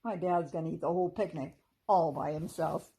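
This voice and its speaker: A woman's voice: sits low, steady tone, fairly quick, dark tone, husky, full character voice. A woman voicing a kind, brave schoolgirl in a friendly manner.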